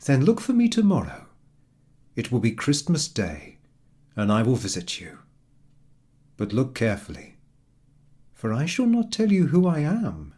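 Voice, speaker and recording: A man voicing a kindly, gentle Jesus who appears in a dream, speaking calmly and with measured words in a storybook reading. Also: medium pitch, slightly rough, heavily acted.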